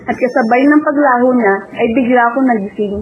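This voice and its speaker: A young woman acting as a dreamy, self-doubting high school girl who narrates her own story in a radio drama, mixing in English. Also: fast talker, close to an everyday voice. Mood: reflective. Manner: code-switches into English. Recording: tinny, phone-like audio with hiss.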